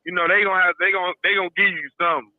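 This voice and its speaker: A man's voice: sits high, flat delivery, racing, no echo. A man co-hosting a live podcast, chiming in with short, slangy asides. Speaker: podcast co-host. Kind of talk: casual chat. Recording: tinny phone-like call-in line.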